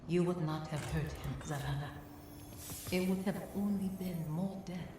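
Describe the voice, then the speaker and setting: An actress playing a weary, grave warrior woman in a video game, speaking in a measured, reflective way. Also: lowish voice, natural voice. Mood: somber, reminiscing. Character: weary, grave warrior woman recalling old griefs gently. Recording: very echoey, clear background ambience noise.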